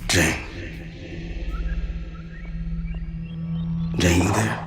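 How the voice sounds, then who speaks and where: A man's voice: sits low, steady tone, normal speed, loud music behind the voice, natural voice. An actor playing a troubled, brooding man in a video game, speaking in a subdued and reflective way. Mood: concerned, coaxing. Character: brooding, troubled man puzzling over a changed woman. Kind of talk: cinematic video game voice acting.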